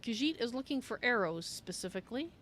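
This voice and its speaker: A woman's voice: a little high, animated, brisk, fairly bright tone, slight background noise, voice pushed up. A woman playing a polite female cat-folk adventurer in a fantasy game roleplay. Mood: courteous. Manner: refers to herself in the third person, courteous.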